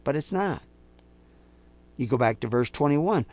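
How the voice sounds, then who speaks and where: A man preaching a sermon to his listeners, accusing and emphatic, slowing down to quote scripture in a measured cadence. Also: mid-range voice, animated, a little mellow, dry recording. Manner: intense, rising to emphatic outbursts; accusing, speaks directly to listeners.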